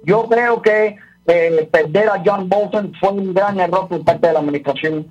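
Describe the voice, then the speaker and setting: A man's voice: high-pitched, moderate pace, highs cut off, faint music behind. A man calling in to a radio show as a political commentator, repeating words and restarting his sentences.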